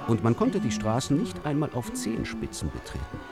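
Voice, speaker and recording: An actor playing a wry storyteller in a musical radio play, reciting deliberately and theatrically with pointed pauses. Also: male voice, medium pitch, wildly swooping pitch, music in the background. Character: wry male storyteller of absurd fairy tales. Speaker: narrator.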